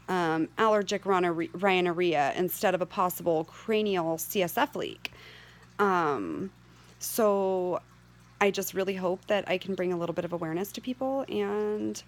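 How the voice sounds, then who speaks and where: A middle-aged woman with a hoarse voice, giving earnest, candid personal testimony to camera as a patient advocate. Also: mid-range voice. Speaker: patient advocate sharing her medical story.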